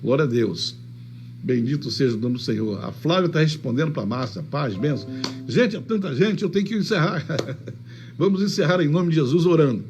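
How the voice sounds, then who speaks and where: A man's voice: a little high, animated, a little fast, darkish tone, faint music behind. A man preaching fervently in a livestream sermon, repeating blessings and greeting viewers. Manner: fervent, repeats blessings, switches into Spanish phrases. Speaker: apostle preaching online. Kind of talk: livestream sermon with shout-outs.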